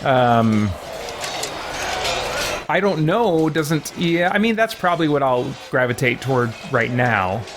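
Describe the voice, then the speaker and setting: A man's voice: medium pitch, lively intonation, slow pace. A man giving casual live-stream game commentary, rambling as he thinks aloud and acts out lines. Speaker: streamer playing a roleplay game. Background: music.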